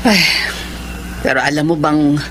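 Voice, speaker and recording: A woman's voice: low voice, unhurried, slight background noise. An actress playing a concerned, questioning older Filipina mother in a radio drama.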